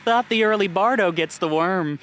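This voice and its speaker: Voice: mid-range voice, moderate pace, fairly bright tone, a little hiss or hum, close to an everyday voice. An actor playing a friendly, teasing coffee shop barista in a comedy audio drama, talking in a casual, folksy way. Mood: teasing, chummy.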